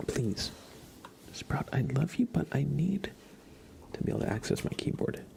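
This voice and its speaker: A man streaming ASMR, chatting softly and gently in a breathy, often whispered voice, unhurried and informal, close to the microphone. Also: mid-range voice, expressive. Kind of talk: soft-spoken ASMR livestream chat.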